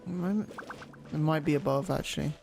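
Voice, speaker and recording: A man's voice: on the high side, fairly quick, vocal fry, darkish tone. A man chatting casually as he streams a game, offhand and half muttering to himself. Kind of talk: casual live gameplay chat. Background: game music.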